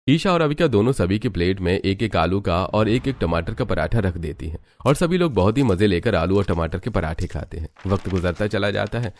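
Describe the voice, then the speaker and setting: Low-voiced male cartoon story narrator. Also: lively intonation, a little fast, hardly any pauses, a little mellow.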